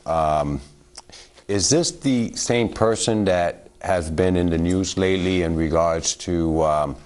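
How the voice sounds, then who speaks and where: A man's voice: low-pitched, slow pace, a little mellow. A man hosting a studio talk show, asking questions hesitantly with ums and uhs.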